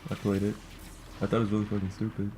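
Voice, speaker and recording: A man's voice: on the low side, completely flat delivery, rapid-fire, warm tone, slight background noise. A man co-hosting a gaming video with casual, sarcastic banter, teasing his partner.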